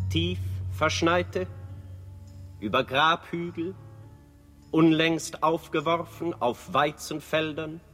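An actor playing a solemn town herald, declaiming deliberately in a German radio play. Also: male voice, a little high. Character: solemn proclaiming town herald announcing the king's return. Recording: long reverb, music behind.

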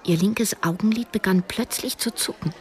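A woman's voice: medium pitch, moderate pace, close to an everyday voice. An actress in her early thirties playing a broke, self-doubting young woman, narrating a radio drama in a reflective, literary way. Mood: reflective, wry. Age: early 30s. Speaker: narrator and lead character. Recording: music behind the voice.

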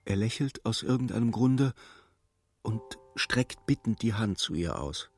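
An actor as the narrator of a literary radio play, telling the story precisely and with dramatic suspense. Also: male voice, lowish voice, expressive, unhurried, breathy edge, full character voice. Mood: measured, observing. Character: male storybook narrator, vivid and urgent observer. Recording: studio radio play with music.

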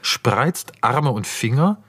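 An actor narrating a radio drama's stage directions in a precise, matter-of-fact manner. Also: male voice, medium pitch, expressive, slow pace, fairly bright tone, slightly rough, no echo, full character voice. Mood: detached. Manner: matter-of-fact, precise, clipped scene-setting.

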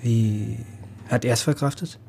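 An actor playing an understated police detective in a naturalistic radio drama, talking plainly. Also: male voice, mid-range voice, steady tone, slow, gravelly, darkish tone, faint music behind. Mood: subdued, curious.

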